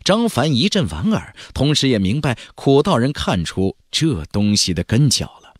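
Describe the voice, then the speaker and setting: A man's voice: medium pitch, very animated, moderate pace, breathy edge, dry recording, clean studio sound. A man narrating a fantasy audiobook in a dramatic, scripted style.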